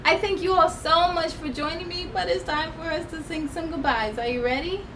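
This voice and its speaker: Female children's storytime host, very high voice, animated and emphatic delivery, some background noise. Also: moderate pace. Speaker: children's read-aloud host. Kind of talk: expressive storybook reading and friendly address to young viewers.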